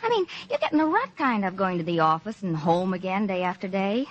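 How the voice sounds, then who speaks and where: A woman's voice: mid-range voice, big pitch swings, brisk, highs cut off, lightly acted. A woman playing a friendly, candid young office secretary in old-time radio drama style, chatty and polite. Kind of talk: 1940s-50s radio drama acting. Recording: noisy vintage radio drama recording.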